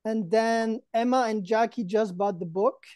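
A man's voice: high-pitched, measured pace, darkish tone, no room sound. A man in his thirties or forties co-hosting an online event with jokey, mock-earnest banter. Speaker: event co-host. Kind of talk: casual playful banter. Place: indoors in front of a green backdrop, on a video call.

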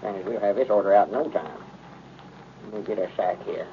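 A man's voice: on the low side, fairly even intonation, a little fast, a little hiss or hum, close to an everyday voice. Earnest, folksy old Southern storekeeper male actor, vintage radio comedy, very narrow tinny sound. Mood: busy, obliging. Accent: rural Southern US. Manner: rustic, homespun talk. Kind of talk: 1930s radio comedy acting.

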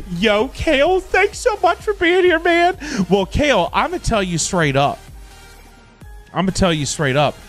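A middle-aged American man hosting a casual gaming livestream, rambling and repeating himself in loose slang. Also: on the high side. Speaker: livestream host, gamer. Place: indoor home streaming setup. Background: background music.

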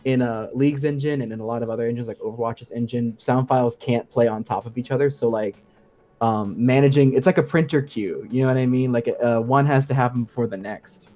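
A man's voice: mid-range voice, fairly even intonation, a little fast, warm tone. A man speaking as a guest sound designer in a casual podcast discussion, explaining things informally and with enthusiasm.

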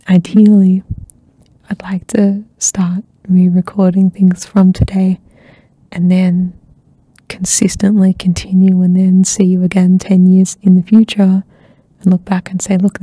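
Soft-spoken woman, husky, half-whispering. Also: fairly low pitch, little pitch movement, measured pace, no room sound. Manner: soft-spoken, slips into whispers, many fillers. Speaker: ASMR channel host. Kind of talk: soft-spoken rambling catch-up chat. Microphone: close ASMR microphone.

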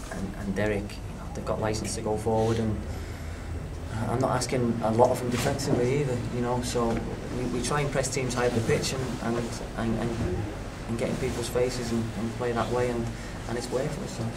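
A man speaking as a football manager in a post-match press interview, frank and halting with many restarts. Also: mid-range voice, level tone, distant mic, background noise. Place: small clubhouse room with reporters. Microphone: handheld phones and recorders held up by reporters.

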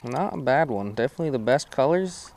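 A man's voice: mid-range voice, expressive, a little fast, darkish tone. A young man casually talking to camera while fishing on a creek bank, rambling and self-deprecating, recorded on a head-mounted action camera. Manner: laid-back, rambling, self-deprecating, many "uh"s. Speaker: fishing vlogger. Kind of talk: casual outdoor commentary to camera. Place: outdoors on a creek bank under a bridge.

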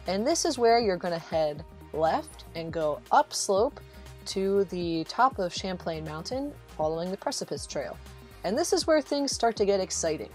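A woman's voice: mid-range voice, big pitch swings, normal speed. A woman giving a casual, encouraging voice-over guide as a travel vlog host, speaking directly to the listener. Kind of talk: casual instructional voice-over narration. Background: background music.

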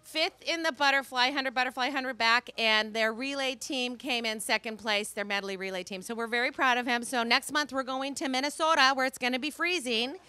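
Bubbly high-voiced woman cheering. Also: brisk, hardly any pauses, bright, forceful tone, clear, resonant tone, no echo. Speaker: club member speaking at a dinner meeting. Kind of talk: casual speech to a club audience. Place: outdoor restaurant patio at night. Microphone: handheld mic.

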